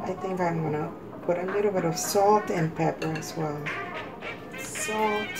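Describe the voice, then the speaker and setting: A woman with a slightly hoarse voice hosting a casual cooking demonstration, talking step by step in a halting way. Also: fairly low pitch, over music. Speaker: cooking vlog host. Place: home kitchen, indoors.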